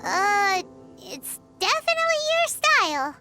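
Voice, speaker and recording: A woman voicing a tiny, cheeky, childlike fairy companion in a video game with a squeaky voice. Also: sing-song, a little slow, over loud music, exaggerated voice. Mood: doubtful.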